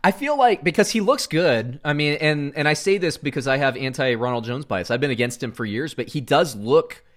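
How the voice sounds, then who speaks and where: A middle-aged man from Central Florida co-hosting a casual sports podcast, animated, going from loud outbursts to muttered asides. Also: on the high side, a little fast. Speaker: podcast co-host.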